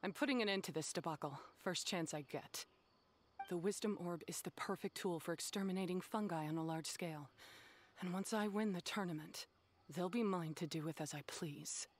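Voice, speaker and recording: Stern young game rival played by a woman: lowish female voice, resolute, formal, coolly disdainful. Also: normal speed, a little hiss or hum, close to an everyday voice. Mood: cold, resolute. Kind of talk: video game voice acting.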